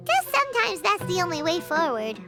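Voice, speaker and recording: A woman voicing a tiny, indignant, childlike fairy companion with a squeaky, exclamatory delivery in an anime game. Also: sing-song, a little slow, vocal fry, loud music behind the voice, exaggerated voice. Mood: curious.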